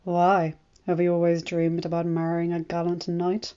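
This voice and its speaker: A woman voicing a young male knight, earnest and defensive, in a low-key, warm-hearted way, read as a storybook. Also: monotone, moderate pace, clean tone, lightly acted. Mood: tender, teasing. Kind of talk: storybook reading. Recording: slightly dull lo-fi recording.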